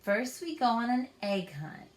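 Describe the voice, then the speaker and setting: A woman's voice: fairly high pitch, very animated, unhurried. A woman voicing a bossy, exasperated big-sister bunny girl who scolds and calls out in a children's storybook read-aloud. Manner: scolding, calling out. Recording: roomy echo.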